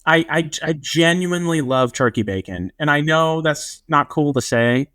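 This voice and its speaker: A man's voice: on the high side, normal speed, fairly bright tone, clean tone. A man hosting a casual podcast, joking in a wry, self-mocking way. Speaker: podcast host.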